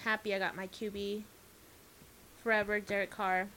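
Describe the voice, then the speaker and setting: A woman's voice: medium pitch, level tone, normal speed, bright, forceful tone, a little hiss or hum. A woman in her thirties co-hosting a podcast, chatting casually and answering with blunt, dry retorts. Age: 30s. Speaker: podcast co-host. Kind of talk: casual chat.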